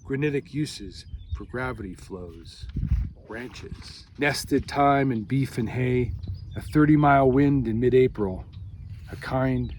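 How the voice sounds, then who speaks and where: A man reading a poem as a poet, in measured, deliberate phrases with pauses between them. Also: medium pitch, darkish tone. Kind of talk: poetry reading. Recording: clear outdoor background noise.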